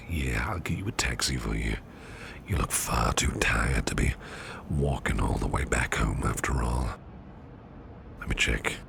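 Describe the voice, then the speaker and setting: A man playing a caring, courteous businessman boss in an ASMR roleplay, speaking in a soft, soothing, half-whispered murmur. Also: extremely low pitch, vocal fry, background noise, heavily acted. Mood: caring, reassuring. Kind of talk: ASMR personal attention roleplay.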